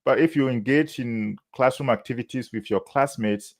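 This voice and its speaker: A man from Burkina Faso, speaking English as a later language, giving measured advice as a university admissions officer, with many "uh" pauses. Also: mid-range voice. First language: not English. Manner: measured, frequent "uh" fillers, warm laughs. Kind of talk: interview answers, advice to students.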